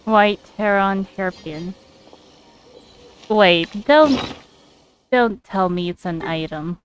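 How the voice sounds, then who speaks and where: A woman doing casual gameplay commentary, thinking aloud in a hesitant, halting way. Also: medium pitch, a little hiss or hum. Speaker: game streamer.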